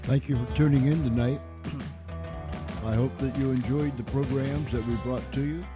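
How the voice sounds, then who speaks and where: A man's voice: mid-range voice, fairly even intonation, moderate pace. Male radio host signing off over music. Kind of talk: informal sign-off to listeners.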